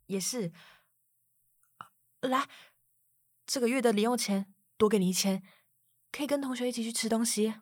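A woman's voice: fairly high pitch, normal speed, long pauses, no echo, clean studio sound, lightly acted. A woman playing a worn-out middle-aged mother in a radio drama. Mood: gentle. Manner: pleading, rising into exasperated outbursts. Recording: loud music bed under the voice.

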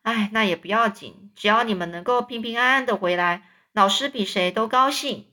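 Storybook teacher, man, stern but kind, read by a woman, bright and a little high. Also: normal speed. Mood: gentle.

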